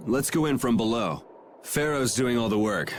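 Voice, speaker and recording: A man's voice: mid-range voice, expressive, normal speed, slight background noise, lightly acted. An actor voicing a cool, sardonic young swordsman leading a party in a video game, casual and dry. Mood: calm. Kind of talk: English video game voice acting.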